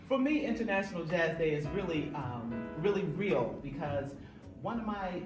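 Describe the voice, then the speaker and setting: A woman's voice: fairly low pitch, animated, moderate pace. A woman teacher chatting casually and eagerly, with many hesitations. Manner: enthusiastic, talks with hesitations. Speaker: music teacher. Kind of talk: casual chat. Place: echoey school building. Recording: echoey room, distant mic, music behind.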